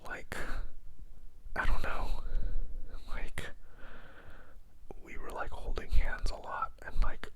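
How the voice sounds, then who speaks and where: Soft whispered sad confession. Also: extremely high pitch, low-quality recording. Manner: whispering throughout; rambling, repeats itself, hesitant. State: sad and downcast.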